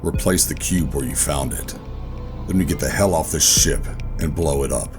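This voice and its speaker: A man playing a weary, guarded government operative, telling a sci-fi horror audio drama in a brooding, deliberate way. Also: extremely low pitch. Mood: terse, resolute. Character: weary, guarded male government operative narrating his own ordeal. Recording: music under the voice. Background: music.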